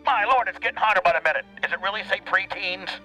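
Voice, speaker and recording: A man's voice: sits high, fast talker, sharp tone, soft background music, poor audio. A man hosting a comedy radio show, teasing and ordering the others around like a ringleader.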